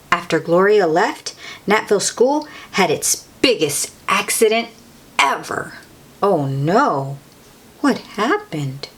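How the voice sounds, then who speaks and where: Expressive woman reading a picture book, slow-paced. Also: medium pitch, slightly rough. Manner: expressive, exclaiming, addresses young listeners. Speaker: storybook read-aloud host. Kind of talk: children's picture-book read-aloud with chatty asides.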